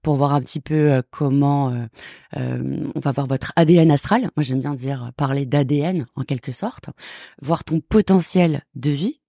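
A woman's voice: very deep voice, moderate pace, vocal fry, warm tone, no room sound, clean studio sound. A middle-aged woman, a guest astrologer, explaining carefully with many hesitant filler sounds. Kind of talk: explanatory talk.

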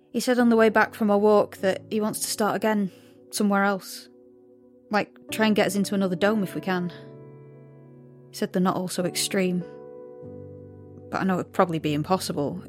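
An actress playing a young, rebellious daughter in an audio drama, speaking with sarcasm and raw emotion. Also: female voice, mid-range voice, a little mellow, natural voice. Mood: tentative, wry.

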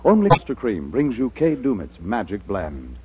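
Low, animated American radio ad man, tinny. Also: moderate pace, a little hiss or hum. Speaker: commercial announcer. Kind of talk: 1940s radio advertising read. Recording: tinny, narrow old radio recording. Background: music.

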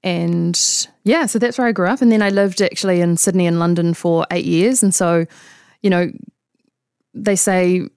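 Gravelly New Zealand woman, low voice. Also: moderate pace, darkish tone, no room sound, clean studio sound. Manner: reflective, many fillers. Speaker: guest coach. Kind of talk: casual interview chat.

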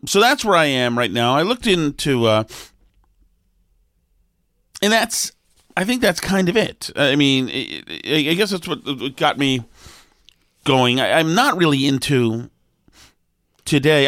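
Sniffly New man co-hosting a talk show, halting and filler-heavy, then forceful, in a mid-pitched voice on a dry, close mic. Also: dry recording. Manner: rambling, halting with fillers and restarts, then emphatic. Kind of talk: opinionated casual talk.

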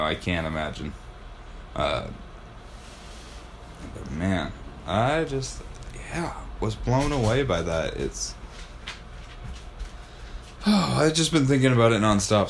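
Man, deep voice, podcast host, casual chat, rambling, enthusiastic, swears freely. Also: animated, takes it slowly, pauses often, background noise.

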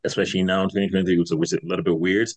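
A man's voice: low voice, little pitch movement, rapid-fire. A man in his thirties from Zimbabwe, talking loosely in an interview with lots of fillers and restarts. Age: 30s. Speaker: guest. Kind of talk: casual interview chat.

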